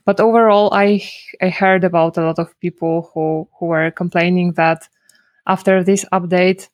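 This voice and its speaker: Woman, flat and hesitant. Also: on the low side, moderate pace, dry recording. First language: Polish. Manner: hesitant, many restarts and "like" fillers. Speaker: guest, SEO consultant. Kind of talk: casual interview.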